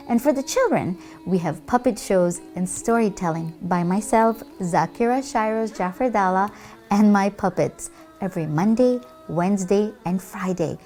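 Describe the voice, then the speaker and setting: A woman's voice: medium pitch, dark tone. A woman presenting a scripted promotional announcement for religious and children's programs. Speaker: religious lecturer and puppeteer presenter.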